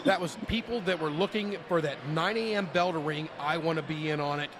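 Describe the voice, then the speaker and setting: A man's voice: a little high, a little fast, background noise. A man co-hosting a casual business podcast, talking emphatically and laughing often. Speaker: podcast co-host. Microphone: cheap soundboard.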